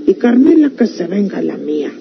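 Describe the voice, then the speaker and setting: A woman's voice: on the low side, very animated, brisk. A woman with a rural Mexican accent playing a plain-spoken, resolute country woman in a radio serial, earnest and rustic. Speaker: actress in a radio drama. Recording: muffled old radio recording, clear noise.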